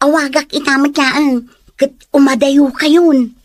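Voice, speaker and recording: A woman's voice: high-pitched, fairly even intonation, unhurried, dry recording, studio quality. A woman playing a young confidante in a radio drama, frank and questioning with her friend. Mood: cautioning.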